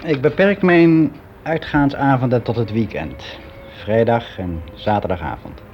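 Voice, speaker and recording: An actor playing a frank, wistful clerk of about sixty in a radio drama monologue, speaking in a musing, halting, confiding way. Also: male voice, mid-range voice, slight background noise, natural voice. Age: older, about sixty. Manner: musing, halting, candid, with drawn-out phrases. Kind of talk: confessional radio drama monologue, conversational and hesitant. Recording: dull lo-fi radio play recording.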